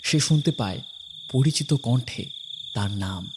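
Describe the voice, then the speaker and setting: A man narrating a horror story from a script, dramatically, in short phrases with pauses. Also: medium pitch, expressive, noisy background.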